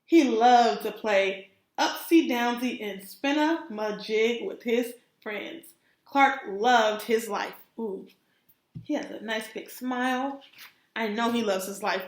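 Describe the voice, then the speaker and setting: A woman's voice: a little high, slow pace, roomy sound. A woman teacher reading a children's story aloud expressively, stopping to put questions to young listeners. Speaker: kindergarten teacher.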